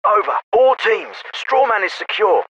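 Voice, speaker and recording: An actor playing a brisk, coolly impatient special forces commander in a military game, giving authoritative, urgent orders through a radio filter. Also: male voice, sits high, gravelly, fairly bright tone, muffled recording, exaggerated voice. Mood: brisk, commanding. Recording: band-limited, hissy military radio transmission.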